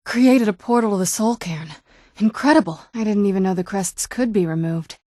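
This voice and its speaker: A woman's voice: medium pitch, moderate pace, darkish tone, no echo, lightly acted. A young woman playing an ancient but youthful vampire noblewoman in a video game, speaking in a wry, understated, conversational way. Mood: curious, thoughtful. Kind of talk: video game character dialogue.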